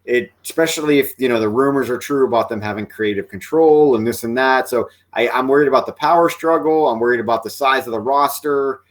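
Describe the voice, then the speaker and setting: A man with a mid-range voice speaks thoughtfully and a little hesitantly, filling pauses with "um" and "you know". His tone is steady and conversational. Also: mid-range voice. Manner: measured, hesitant, with many fillers. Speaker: podcast co-host. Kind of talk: casual wrestling chat.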